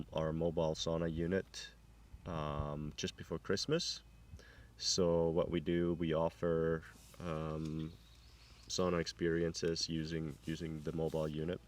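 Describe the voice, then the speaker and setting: Deep-voiced man, halting speech. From Finland. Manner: halting, repeats words while searching. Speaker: sauna business owner. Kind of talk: casual interview. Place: outdoors on a farm. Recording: distant mic, faint outdoor noise.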